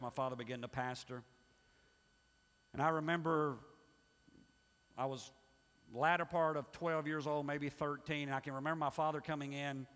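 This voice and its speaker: A middle-aged male pastor preaching a sermon, emphatic and swinging between loud pushes and hushed asides. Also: medium pitch. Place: church sanctuary. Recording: long hall reverb.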